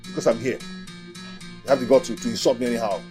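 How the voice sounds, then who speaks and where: An actor with a Nigerian accent playing a proud young house servant, protesting with repeated, stammered complaints in a film drama. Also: male voice, medium pitch, fast, over music, natural voice. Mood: indignant. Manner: indignant, repetitive, stammering protests.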